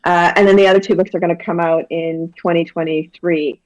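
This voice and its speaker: A woman's voice: lowish voice, little pitch movement, brisk, no room sound, low-quality recording. A woman from Guyana, a children's author, chatting animatedly in an interview with lots of fillers and restarts. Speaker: children's book author, guest. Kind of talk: casual interview chat.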